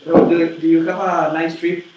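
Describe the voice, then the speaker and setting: A man hosting a scripted school-project interview, speaking haltingly and repeating words. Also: on the high side, flat delivery, dull audio. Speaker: interview host. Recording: distant, very echoey room with noise.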